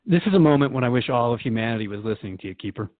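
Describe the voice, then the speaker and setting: An earnest radio interviewer played by a man questions his guest in a radio drama. He sounds conversational and a little unsettled, hesitating as he searches for answers. His mid-pitched voice comes through dull and lo-fi. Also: lively intonation, very fast, natural voice. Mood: earnest, curious.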